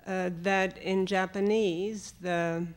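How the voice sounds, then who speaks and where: A woman in the audience asking a question and making comments from the floor, with an uneven, deliberate pace. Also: medium pitch, steady tone.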